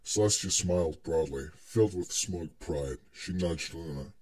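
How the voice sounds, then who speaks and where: Male narrator for scripted fiction, low register with a husky grain. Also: unhurried, a little mellow, poor audio.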